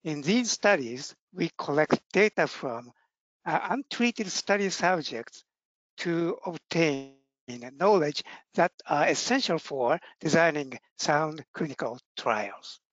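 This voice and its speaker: Japanese-accented man, halting and self-deprecating. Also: fairly high pitch, animated, fairly bright tone, no room sound. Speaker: neurologist presenter. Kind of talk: webinar presentation. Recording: muffled dry webinar audio.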